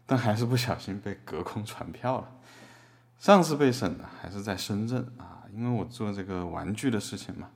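A man playing a wry drifter artist as a defendant in an audio drama, casual, deadpan and self-mocking. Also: fairly low pitch, close to an everyday voice.

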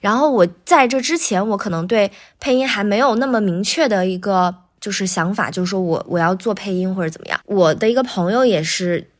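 A woman chatting casually as a guest, telling about her work as a voice actor with animated storytelling. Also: medium pitch, fairly quick, hardly any pauses. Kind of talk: casual interview chat, recounting a career.

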